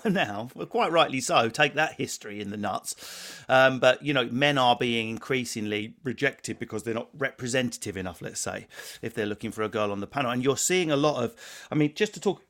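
A man hosts a casual talk with a wry, self-mocking manner. He puts questions to his guests in an average-pitched voice. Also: a bit bright. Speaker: podcast host. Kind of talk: casual chat.

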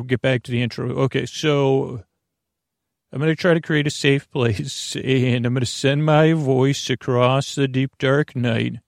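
Male bedtime podcast host: creaky, fry-laden voice, rambling and halting delivery full of digressions, very dry sound. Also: medium pitch, no room sound. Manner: meandering, hesitant, repetitive, digressive.

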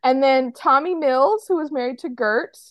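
American female co-host, higher register, dry sound, effusive fan chatter. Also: moderate pace, no room sound, muffled recording. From United States. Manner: gushing, effusive. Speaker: podcast co-host leading a game. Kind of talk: casual fan chat.

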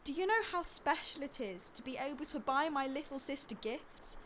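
A woman's voice: high voice, lively intonation, moderate pace, a bit bright, slight background noise. A woman playing an upset, defensive teenage girl vlogger, pleading and indignant, in an audio drama. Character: defensive, upset fifteen-year-old girl beauty vlogger.

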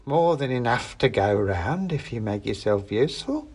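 A greedy old witch woman, put on by a man, speaks in a folksy, quietly threatening manner. Her voice is slightly husky and rises and falls freely. She sounds like a storybook villain toying with a guest. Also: medium pitch, lively intonation, normal speed. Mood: menacing.

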